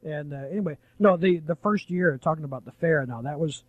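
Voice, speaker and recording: A man's voice: on the high side, a little fast, no echo, dull audio. A mature man, a veteran radio broadcaster, reminiscing in a rambling, anecdotal on-air chat. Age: middle-aged or older.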